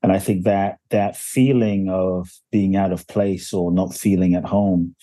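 A man's voice: deep voice, steady tone, unhurried, dark tone, no room sound. A man from Ghana who grew up in London, a spiritual teacher telling his life story earnestly and reflectively in a podcast interview. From Ghana, raised in London. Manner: earnest and reflective, builds stories with repetition. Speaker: guest, spiritual teacher and author.

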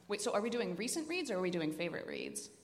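A woman with a creaky voice chatting casually as co-host of a live podcast. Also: medium pitch, big pitch swings, quick pace, a little hiss or hum. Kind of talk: casual chat before a live audience. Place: indoor hall at a live podcast event. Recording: echoey hall, live event.

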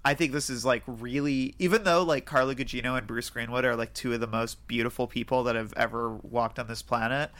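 A man's voice: medium pitch, fairly even intonation, fairly quick, few pauses, a bit bright. A man co-hosting a podcast in casual film discussion, hesitant and often circling back to rephrase. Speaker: podcast co-host.

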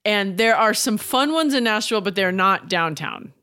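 A woman's voice: mid-range voice, expressive, moderate pace, pushed and bright, dry recording. A woman co-hosting a podcast, drifting through long anecdotes in an easy, talkative way. The mic is close and dry, with no room sound. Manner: chatty, rambling anecdotes. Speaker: co-host. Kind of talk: casual podcast chat.

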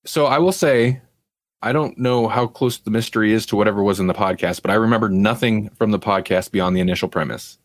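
Male podcast host, lowish pitch, dry sound, rambling casual chat. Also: brisk, no echo.

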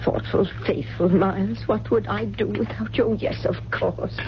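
An actress playing a wealthy, fragile older widow, grieving and forgetful, with genteel, theatrical delivery in a 1970s radio drama. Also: female voice, mid-range voice, steady tone, measured pace, natural voice. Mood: resigned, wistful. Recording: dull, noisy, humming old radio recording.

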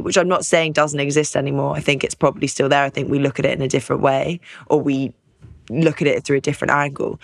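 Young woman host, lowish voice, dry close sound, talks it through thoughtfully. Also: gravelly, dry recording. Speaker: podcast host. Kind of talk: casual interview chat.

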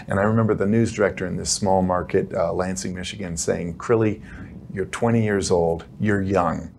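A man's voice: deep voice, steady tone, normal speed, creaky, darkish tone, slight background noise. A middle-aged man hosting a talk show, chatting eagerly with a guest and using many fillers. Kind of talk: conversational interview.

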